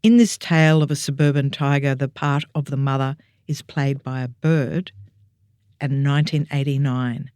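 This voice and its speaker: A woman's voice: low voice, a little mellow, no echo. Woman host with a close, dry sound. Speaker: podcast host. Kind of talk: scripted narration, introducing readings.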